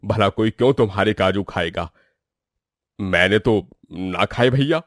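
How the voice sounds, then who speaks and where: A man playing an expressive, exclamatory family man in an animated domestic moral story. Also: fairly low pitch, unhurried, dry recording, clean studio sound, close to an everyday voice. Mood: exasperated.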